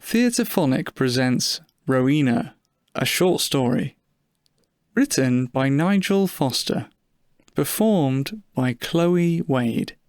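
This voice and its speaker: A man delivers a scripted podcast introduction as announcer. He speaks slowly at an average male pitch. The recording is dry. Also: lively intonation, a little mellow, no room sound.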